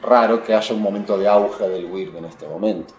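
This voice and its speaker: A man's voice: on the low side, moderate pace. A man with a Uruguayan accent speaking as an interviewed writer, reflective and digressive, often searching for the right word. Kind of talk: conversational literary interview, intellectual discussion. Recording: roomy echo, noticeable background noise.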